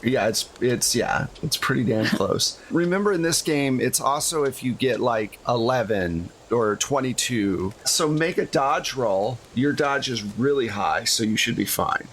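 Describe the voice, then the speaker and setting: A man's voice: medium pitch, expressive, unhurried, hardly any pauses, fairly bright tone, a little hiss or hum. A man running a tabletop game, narrating casually as game master with a jokey streak.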